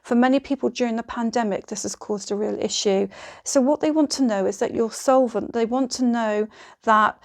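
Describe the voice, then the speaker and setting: Higher-pitched woman giving encouraging advice. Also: normal speed. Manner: encouraging, reassuring. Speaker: adoption advice presenter. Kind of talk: informal instructional advice to camera.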